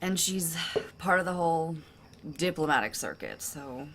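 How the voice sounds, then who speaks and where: A woman's voice: deep voice, lively intonation, normal speed, slight background noise. An actress playing a lovelorn, sardonic woman diplomat, conversational and hesitant, in an audio drama. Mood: hurt, subdued. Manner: hesitant, conversational, self-correcting.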